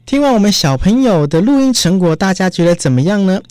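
A radio host chatting directly to listeners in an announcing style, ending many phrases with soft particles. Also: medium pitch, big pitch swings, fairly quick, crowd noise. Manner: frequent sentence-final particles, addresses listeners directly.

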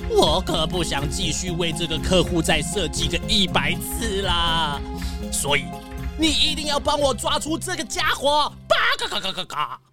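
A woman playing an excitable male locksmith with a quacking cackle in a children's audio drama. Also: fairly low pitch, moderate pace, over music. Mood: insistent. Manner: exclaims, repeats himself, punctuates talk with quacking cackles.

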